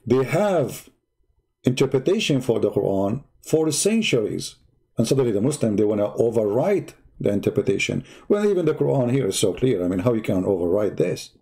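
A man whose first language is Arabic, hosting a heated live stream and hammering at his opponents with mocking rhetorical questions. His voice is dark and a bit husky, with a combative edge. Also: mid-range voice, very animated, brisk. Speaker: online debate host.

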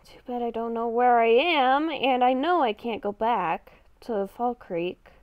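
A woman playing a confused, exasperated young werewolf-vampire hybrid in an improvised game roleplay, thinking aloud in a halting, dramatic way. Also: a little high, no echo, dull audio, lightly acted. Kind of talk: improvised Minecraft roleplay narration while playing.

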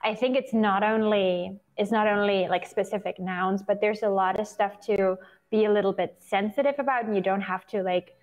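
A woman's voice: medium pitch, fairly even intonation, normal speed. Co-host: young woman, earnest and reflective, casual video call. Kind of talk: casual chat. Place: home room.

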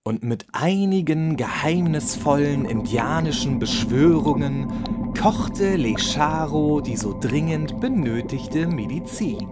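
A man's voice: fairly high pitch, animated, slow pace, loud music behind the voice. A man narrating a children's bedtime story as a scripted narrator.

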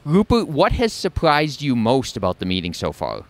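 A man's voice: medium pitch, wildly swooping pitch, normal speed. A middle-aged man working as an on-camera interviewer at an event, speaking into a handheld microphone in a large hall. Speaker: interviewer, blog host. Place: large convention hall with poster boards.

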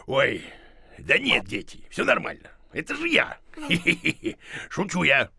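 A man's voice: fairly high pitch, wildly swooping pitch, slow, creaky, sharp tone, breathy edge, no echo, studio quality, full character voice. A man voicing a silly, boastful hairy creature in a children's cartoon, pompous and given to exclaiming and muttering. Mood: blustering, flustered. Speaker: main character of children's cartoon. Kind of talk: animated cartoon acting.

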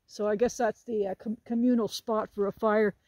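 A woman's voice: mid-range voice, fairly even intonation, normal speed, darkish tone, no echo. A woman in her sixties filming herself on a hilltop trail hike, chatting and joking with a laugh while she walks outdoors. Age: 60s. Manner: chatty, jokey, laughs often. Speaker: vlogger hiking with a group. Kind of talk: casual talk to camera. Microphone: phone held while walking.

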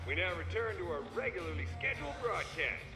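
A man's voice: high-pitched, sing-song, moderate pace. A man playing a gleeful, mocking wartime radio DJ in a video game, announcing with theatrical, sardonic flair. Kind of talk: mock radio broadcast announcing. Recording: echoey, long reverb, music behind.

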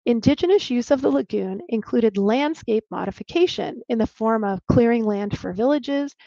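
A woman archaeologist giving a slide talk over a video call, explaining clearly and sometimes restarting a word. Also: a little high, warm tone, no room sound. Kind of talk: presenting a lecture with slides. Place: home room on a video call. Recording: dull, lo-fi dry video call audio.

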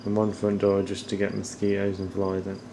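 A middle-aged man giving a casual, low-key, off-the-cuff house tour as he walks around indoors with a handheld camera. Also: completely flat delivery, a little fast. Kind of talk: casual off-the-cuff narration while filming. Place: indoors, walking through rooms of a house. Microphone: handheld camera at arm's length. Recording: noisy handheld camera audio.

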